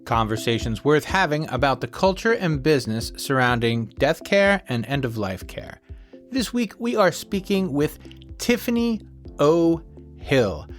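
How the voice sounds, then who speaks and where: A man hosting a podcast, talking in a conversational, slightly halting way as he introduces and questions a guest. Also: fairly high pitch, lively intonation, soft background music. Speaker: podcast host.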